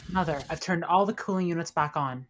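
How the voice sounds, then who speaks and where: A woman's voice: fairly low pitch, fairly quick, a little hiss or hum, close to an everyday voice. A woman playing a determined but shaken spaceship officer in a dramatic table read, with urgency. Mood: shaken, urgent.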